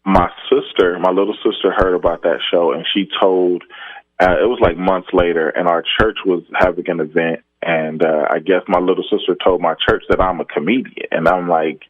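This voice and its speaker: A man's voice: low voice, steady tone, moderate pace, no room sound, narrow, tinny audio. A man, a stand-up comedian, chatting casually on a podcast about his start, rambling with frequent laughs. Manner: laid-back, rambling, laughs often.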